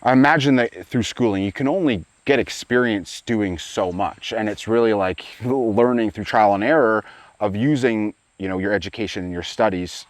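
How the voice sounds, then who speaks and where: Rambling male host, low dry voice. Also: normal speed, no room sound. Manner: long rambling questions with "like" and "you know". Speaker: podcast host. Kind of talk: casual interview.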